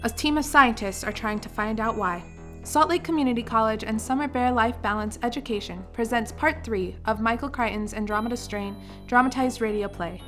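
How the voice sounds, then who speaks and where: Fairly high-pitched woman narrating radio drama. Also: a little fast, soft background music.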